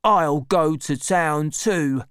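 A big, sulky young workman who is always grumbling, as a storybook reader puts him on. He speaks in a raised, slow, complaining way, and the recording is dry. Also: mid-range voice, fairly bright tone, clean tone, no echo, voice pushed up. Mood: surly. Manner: surly and complaining.

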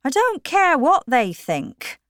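A woman voicing a stubborn middle-aged miller, speaking louder and more forcefully than her narration. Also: high voice, huge pitch swings, slow, dry recording, studio quality, voice pushed up, full character voice. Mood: scolding. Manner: forceful, emphatic, raised voice.

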